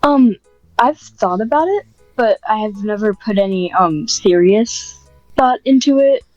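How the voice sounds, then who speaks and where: A woman's voice: mid-range voice, expressive, measured pace, darkish tone, no echo, highs cut off, soft background music. A woman podcast guest chatting casually in a modest, informal and hesitant way. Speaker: podcast guest, script writer. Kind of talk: casual interview chat.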